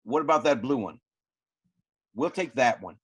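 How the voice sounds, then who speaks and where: A man playing a gruff, curt farmer uncle with a brusque, commanding manner in a script read. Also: mid-range voice, expressive, moderate pace, dry recording. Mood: haggling. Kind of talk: virtual script table read.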